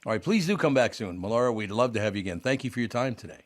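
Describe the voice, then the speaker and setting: A man's voice: mid-range voice, animated, rapid-fire. A man hosting a radio talk show, chatting jokily and effusively with a guest, often rambling. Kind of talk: casual radio interview.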